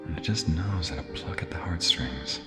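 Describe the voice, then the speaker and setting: A man playing a nervous, gentle, lovestruck concert violinist in an intimate ASMR roleplay, with hesitant, breathy asides and stammers. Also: extremely low pitch, moderate pace, long reverb tail, music in the background. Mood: dreamy, soothing.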